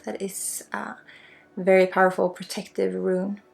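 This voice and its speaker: Creaky-voiced Swedish woman, ASMR healer. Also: mid-range voice, level tone, a little slow. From Sweden. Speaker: spiritual healer and guide. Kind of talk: ASMR guided energy healing. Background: music.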